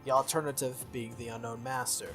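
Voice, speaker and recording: Man reading as a teenage schoolboy, mid-pitched, earnest, plain-spoken, visual novel dialogue, music underneath. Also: flat delivery, a little fast, lightly acted. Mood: earnest, explaining. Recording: music behind the voice.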